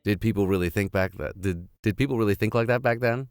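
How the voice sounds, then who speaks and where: A man reading classic literature aloud in an expressive, theatrical and playful way. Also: fairly low pitch, fast talker, clear, resonant tone, dry recording, clean studio sound. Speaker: audiobook reader. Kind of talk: expressive narration of classic literature with casual asides.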